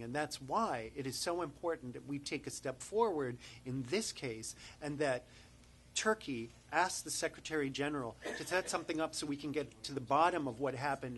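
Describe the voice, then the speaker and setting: A man answering reporters at a press conference, emphatic and halting with frequent fillers. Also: mid-range voice, fairly bright tone, slight background noise. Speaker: human rights advocate at press conference. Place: press briefing room.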